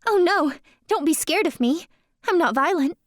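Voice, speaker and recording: A woman's voice: very high voice, animated, normal speed, dry recording, studio quality. A woman playing a polite, earnest young dancer in an audio drama. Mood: friendly, modest.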